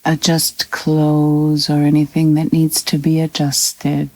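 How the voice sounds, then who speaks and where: A woman reading a scripted guided meditation in a calm, soothing, unhurried manner with long pauses. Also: low voice, no room sound, poor audio.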